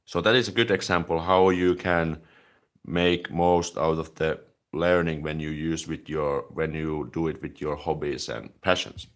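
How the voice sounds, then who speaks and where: Low-voiced man chatting casually. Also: measured pace. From Finland. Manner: relaxed, chatty, leans on "like" as filler. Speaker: language-learning YouTuber. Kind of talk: casual live stream, answering viewer comments.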